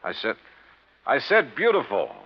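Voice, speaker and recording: A man's voice: mid-range voice, wildly swooping pitch, measured pace, husky, full character voice. An American actor playing a wry male insurance investigator in a 1950s radio detective drama, telling his story in a clipped, colorful way. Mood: polite, questioning. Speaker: lead actor and narrator. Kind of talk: 1950s radio detective drama, first-person narration and dialogue. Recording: dull vintage radio recording, music.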